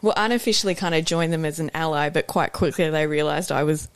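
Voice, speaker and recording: A woman with an Australian accent talks through her memories in a warm, rambling way, full of "uh" and "you know". Her voice sits low for a woman. The recording is dry and close, with no room sound. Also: fast, smooth, dry recording. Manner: warm, chatty storytelling with fillers. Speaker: running club co-president, guest. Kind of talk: casual podcast interview.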